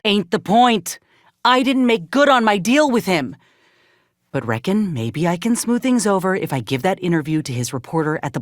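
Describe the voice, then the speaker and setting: Earnest, young spacefarer. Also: female voice, lowish voice, no echo, clean studio sound. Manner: folksy, plainspoken, earnest. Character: earnest, principled young female spacefaring companion, folksy and wounded. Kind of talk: video game companion dialogue acting.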